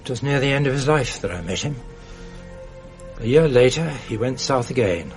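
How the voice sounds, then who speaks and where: An actor playing a gentle, weary older father soothing his frightened young son with unhurried storytelling in a radio drama. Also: mid-range voice, lively intonation, soft background music, natural voice. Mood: sombre.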